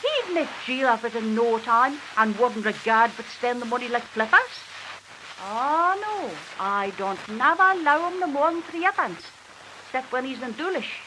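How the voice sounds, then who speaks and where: A woman's voice: on the high side, normal speed, close to an everyday voice. A woman reciting a dialect tale as a talkative, exasperated Manx country wife confiding in a neighbour, on a hissy 1940s archive recording. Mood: confiding, indignant. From Isle of Man. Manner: animated, confiding, repeatedly addressing her listener.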